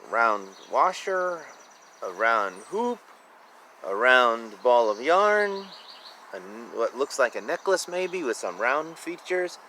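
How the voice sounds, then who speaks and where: Animated man reading a children's storybook. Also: medium pitch, slow pace, slight background noise. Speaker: reader of a children's picture book. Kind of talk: read-aloud storytelling with casual asides about the pictures.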